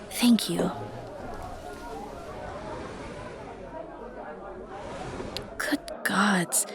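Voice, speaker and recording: A woman's voice: mid-range voice, close to an everyday voice. Woman playing a shy, dorky cafe regular, hesitant. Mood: nervous, apologetic. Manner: hesitant, rambling, self-conscious, softly earnest. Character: shy, dorky young woman, a friendly coffee shop regular. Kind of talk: ASMR roleplay, spoken directly to the listener. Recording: busy cafe chatter behind the voice. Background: coffee shop crowd ambience.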